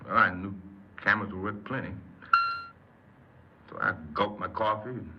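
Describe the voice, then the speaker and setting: Young male thief confessing played by a man, low voice, hesitant, self-justifying; 1950s radio drama, heavy recording noise. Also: steady tone, normal speed, lo-fi sound, lightly acted. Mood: rueful, explaining.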